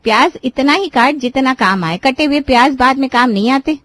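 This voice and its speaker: A woman's voice: high-pitched, moderate pace, fairly bright tone, slight background noise, pitched above the performer's own voice. Woman putting on a bossy, scolding mother-in-law. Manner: reproachful, lecturing. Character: older scolding mother-in-law, bossy female head of household. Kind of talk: Hindi cartoon story dialogue.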